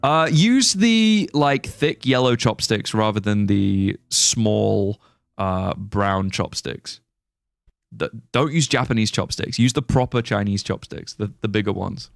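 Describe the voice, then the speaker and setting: A man's voice: fairly low pitch, slow pace, gravelly, no room sound, studio quality. A young British man chatting casually while streaming a game, rambling and restarting his sentences. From born in Singapore, raised British. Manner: rambling, restarts sentences, laughs at chat jokes. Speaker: livestreaming gamer. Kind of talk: casual chat while playing a game and reading chat.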